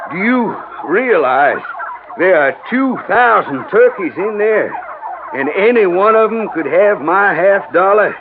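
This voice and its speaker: Southern farmer: higher-pitched, indignant blusterer, stingy, drawling, on a noisy vintage broadcast. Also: male voice. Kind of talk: 1950s radio drama acting. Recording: narrow-band old radio recording, noisy.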